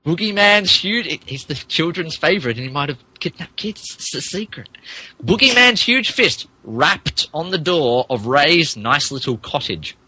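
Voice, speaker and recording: A man narrates fan fiction as comic drama. His pitch moves around a lot, and his voice is bright and forceful with a husky edge. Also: sing-song, unhurried, poor audio. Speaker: narrator in a podcast reading.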